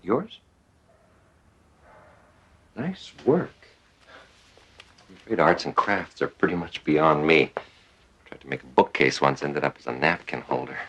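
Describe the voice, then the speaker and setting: An actor playing a calm, patient male psychiatrist in a television drama, speaking gently and a little hesitantly as he probes. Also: rumbling low, measured pace, long pauses, husky, exaggerated voice. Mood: friendly, gentle. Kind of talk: naturalistic television drama dialogue.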